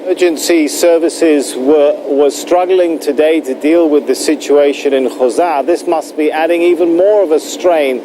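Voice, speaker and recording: A man's voice: a little high, fairly even intonation, moderate pace, few pauses, background noise. A man working as a news presenter, putting questions to a correspondent live.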